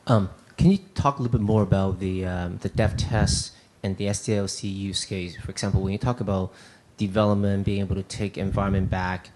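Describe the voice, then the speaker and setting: A man with a fairly low voice asks the panel a detailed question from the audience. His delivery is conversational and informal, sprinkled with "you know". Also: steady tone, a little fast, darkish tone, slight background noise. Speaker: audience member asking a question.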